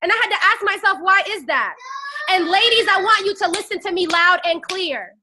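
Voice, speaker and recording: A woman's voice: very high voice, moderate pace, sharp tone. A woman in her twenties giving a fiery, preachy motivational pep talk into a phone and rallying her listeners with call-and-response. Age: 20s. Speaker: network marketing team leader.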